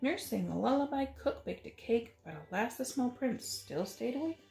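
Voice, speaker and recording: Animated woman reading a children's story. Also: on the high side, normal speed, gravelly. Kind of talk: expressive picture-book read-aloud in rhyme. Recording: roomy echo, faint music.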